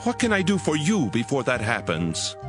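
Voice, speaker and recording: An actor playing a stern, solemn Hebrew prophet in a dramatized audio Bible, speaking with emphatic, declamatory delivery. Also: male voice, mid-range voice, huge pitch swings, moderate pace, over music. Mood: solemn, commanding.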